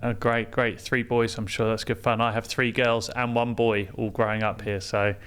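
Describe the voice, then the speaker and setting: A man's voice: fairly low pitch, flat delivery, normal speed, fairly bright tone, clean tone. A middle-aged man hosting a business podcast, reading a polished intro and then asking interview questions. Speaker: podcast host, CEO.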